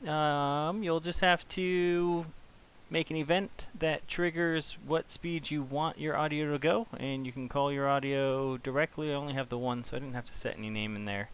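A man hosting a casual software tutorial, talking informally and thinking aloud as he works. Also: fairly high pitch, fairly even intonation, moderate pace. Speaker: tutorial host. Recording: clear background hiss.

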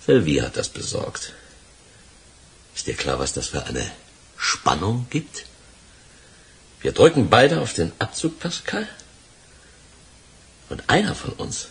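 A calculating male gangster from a radio crime play, low and very husky. He sounds controlled and condescending, with lively swings of pitch that carry his menace. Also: heavily acted. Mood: threatening. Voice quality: husky, rough. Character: cool, calculating, dangerous male gangster in a standoff. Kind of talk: German radio crime drama acting.

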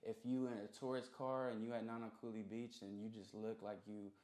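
A young man with a fairly low voice is being interviewed in a roomy, echoing studio. He talks casually, full of slang, often checking that the listener follows. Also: little pitch movement, brisk, darkish tone. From Hawaii. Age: young adult. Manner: slangy, peppered with "you know what I mean". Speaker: interview guest, musician. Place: small recording studio room.

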